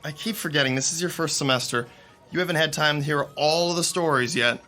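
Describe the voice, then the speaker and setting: A man's voice: a little high, quick pace, sharp tone, soft background music. A man playing a joking, friendly theater crew member who tells funny stories about coworkers. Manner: teasing storyteller. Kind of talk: radio drama acting.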